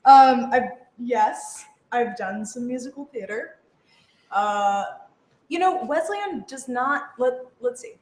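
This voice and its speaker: A woman actor chatting casually in a group, playful and halting, often interrupting herself. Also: fairly high pitch, animated, darkish tone. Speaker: radio drama actor. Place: indoor room with other people. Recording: echoey room, noticeable background noise.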